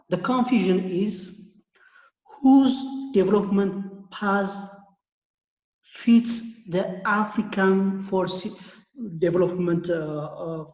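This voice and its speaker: Slow hesitant questioner, echoey distant line. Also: medium pitch, a little mellow. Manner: hesitant, many uhs and restarts. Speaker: audience member asking a question. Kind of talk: online panel question. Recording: echoey distant muffled call audio.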